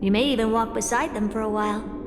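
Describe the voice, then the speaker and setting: A woman playing an aloof, all-knowing genius who gives grave counsel in a measured, cryptic way in a video game. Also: on the high side, fairly even intonation, close to an everyday voice. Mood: frank, gentle. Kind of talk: dramatic video game voice acting. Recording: very echoey long reverb, music behind.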